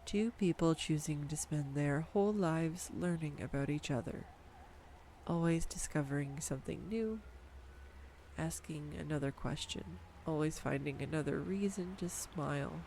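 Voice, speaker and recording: A woman's voice: low-pitched, a little slow, warm tone, a little hiss or hum, low-quality recording. A woman playing a nerdy rambling girlfriend. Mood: fond. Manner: enthusiastic, rambling, tangent-prone, giggly. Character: nerdy affectionate young adult girlfriend, rambling about animals. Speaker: girlfriend in an audio roleplay. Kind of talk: intimate audio roleplay monologue. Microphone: studio USB microphone.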